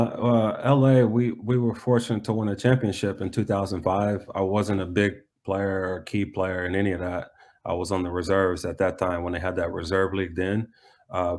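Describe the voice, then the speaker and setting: A man's voice: low-pitched, a little mellow. A middle-aged man, a coach and former player, answering interview questions casually and reflectively, often repeating phrases as he goes.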